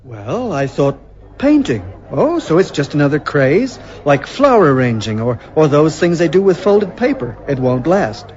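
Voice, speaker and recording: Mild, lilting suburban husband. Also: male voice, fairly high pitch, very animated, normal speed, darkish tone. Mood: amused, indulgent. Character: mild, patient, quietly resentful suburban husband, narrating his story. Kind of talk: radio drama acting and narration. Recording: muffled radio drama recording.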